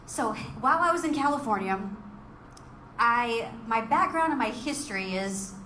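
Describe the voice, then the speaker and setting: A woman's voice: a little high, lively intonation, normal speed, sharp tone. Texan lady chatting through a speech, roomy echo, noisy room. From Texas. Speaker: guest speaker. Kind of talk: speech at a political meeting.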